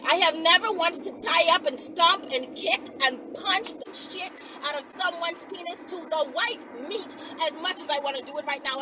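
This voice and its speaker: A woman talking casually and bluntly to her viewers, with a sassy manner, as a content creator. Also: extremely high pitch. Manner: sassy, blunt, heavy swearing. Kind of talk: casual rant to camera, addressing viewers directly. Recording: distant mic with clear background noise.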